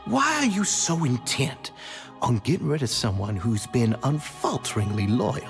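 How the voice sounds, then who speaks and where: Theatrical, obsequious schemer, swooping delivery. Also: male voice, mid-range voice, very animated, a little slow, vocal fry. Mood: pleading, aggrieved. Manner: unctuous, elaborately polite, theatrical. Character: smooth-talking, obsequious, scheming society leader, adult man. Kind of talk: video game voice acting. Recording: background music.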